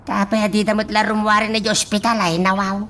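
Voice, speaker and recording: A woman voicing a kind, devout aging father in a radio drama, full of sighing exclamations and soft reassurance. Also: mid-range voice, little pitch movement, fast talker, recorded from a distance, lightly acted. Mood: reassuring.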